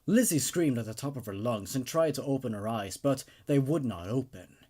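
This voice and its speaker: A man hosting and narrating horror stories in a theatrical, expressive way, with casual asides. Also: medium pitch, normal speed. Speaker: host and narrator of a horror story reading show.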